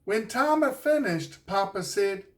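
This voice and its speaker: A man with a New Zealand accent reading a children's storybook aloud in a deliberate, expressive storytelling way. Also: high voice, distant mic. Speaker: children's author reading his own book. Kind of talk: scripted storybook narration for children.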